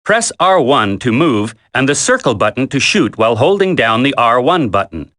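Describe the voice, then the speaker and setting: An actor playing a military instructor in a video game tutorial, coaching a recruit in a firm, deliberate, commanding way. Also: male voice, medium pitch, big pitch swings, bright, forceful tone. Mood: instructive, firm.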